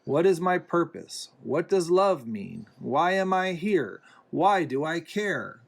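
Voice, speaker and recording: A man reading a children's picture book aloud in a deliberate way, pausing between phrases. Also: a little high, very animated, creaky. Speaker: reader, parent reading to a young child.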